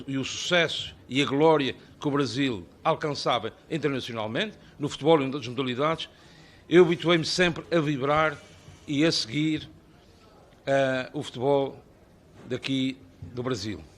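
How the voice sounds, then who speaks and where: A man's voice: on the high side, fairly bright tone. Azorean man giving an ornate formal address. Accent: Azorean Portuguese. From Azores, Portugal. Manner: ornate, rhetorical, long listing phrases. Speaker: invited speaker at a parliamentary hearing.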